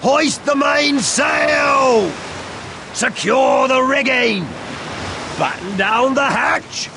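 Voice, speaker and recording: A man's voice: extremely high pitch, drawn-out words, creaky, raised voice, noisy background, exaggerated voice. A man voicing a theatrical, cowardly comic sidekick adventurer in a video game, hammy and dramatic. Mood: boisterous. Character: jolly, theatrical, cowardly male adventurer playing ship's captain.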